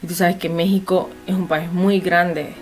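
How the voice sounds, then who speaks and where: A woman's voice: lowish voice, little pitch movement, measured pace, music in the background. A young woman, speaking earnestly as an interview guest and often restarting her sentences. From Honduras. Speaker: anonymous guest. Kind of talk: personal interview.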